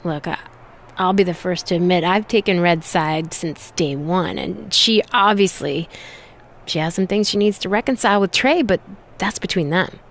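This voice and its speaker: A woman's voice: on the low side, moderate pace, natural voice. A woman acting as a serious, indignant host of a wrestling preview show in a video game cutscene, giving broadcast commentary. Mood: firm, exasperated.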